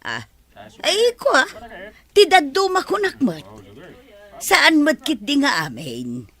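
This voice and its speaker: A woman's voice: sits high, wildly swooping pitch, takes it slowly, fairly bright tone, heavily acted. A woman with an Ilocano accent playing a village mother chatting in a radio drama. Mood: chatty.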